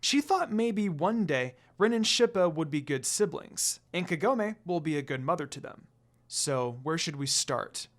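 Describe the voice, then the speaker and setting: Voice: mid-range voice, animated, moderate pace, hardly any pauses. A narrator reading fan fiction aloud as dramatic story narration.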